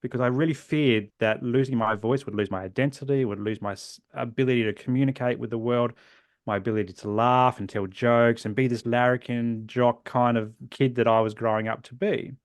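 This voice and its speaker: An Australian man in his forties, a guest in a podcast interview, telling his own story earnestly and reflectively, often trailing off softly at the ends of phrases. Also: mid-range voice, steady tone, a little fast, no echo, clean studio sound. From South Australia. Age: 40s.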